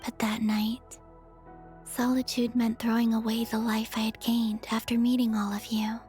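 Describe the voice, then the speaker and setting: A woman voicing a gentle, wistful young moon maiden in a video game cutscene, speaking softly and slightly breathily in measured phrases. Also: on the high side, little pitch movement, close to an everyday voice. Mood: gentle, reflective. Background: background music.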